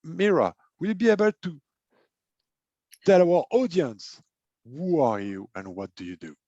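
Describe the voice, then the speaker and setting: A man's voice: fairly high pitch, expressive, a little slow, warm tone, no echo, muffled recording. A man who is not a native English speaker hosts an online interview, talking hesitantly with many fillers and repeated words. He works as a life and business coach. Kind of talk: casual interview chat.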